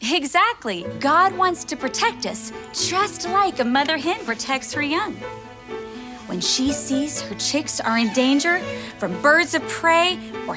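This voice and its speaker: A young woman playing a sweet, cheery, motherly friend to children, with a gentle sing-song delivery in a theatrical children's show. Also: sits high, full character voice. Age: young adult. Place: studio set. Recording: loud music bed under the voice.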